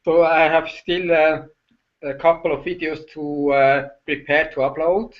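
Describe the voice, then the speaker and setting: A middle-aged Swiss man speaks English with a Swiss German accent in a slow, halting way, full of pauses. His voice sits a little high for a man. The audio is dull and lo-fi, as from a video call. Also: steady tone. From Switzerland. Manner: hesitant, halting, many uh fillers. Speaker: guest. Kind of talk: casual video call chat.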